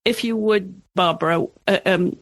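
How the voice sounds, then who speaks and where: A woman's voice: medium pitch, moderate pace, no echo. A woman hosting an interview podcast, rambling through her introduction and correcting herself. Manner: warm-up chatter, rambling, self-correcting. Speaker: podcast host.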